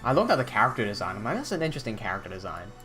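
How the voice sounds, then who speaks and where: A man's voice: lowish voice, sing-song, racing, bright, forceful tone, faint music behind. A young man from Hong Kong, chatting casually on a live stream and answering chat questions with restarts and blunt swearing. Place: indoor room at desk.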